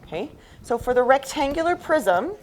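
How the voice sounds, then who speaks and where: A woman's voice: high voice, sing-song, normal speed, a little mellow, slight background noise, poor audio. A woman teaching a math class, explaining step by step and often checking understanding with questions.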